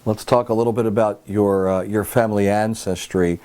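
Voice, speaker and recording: A male interviewer with a low, mellow voice introduces his guest and asks questions in a measured, friendly way, with a few small restarts. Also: fairly even intonation, clear, resonant tone. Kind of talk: oral history interview. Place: guest's home.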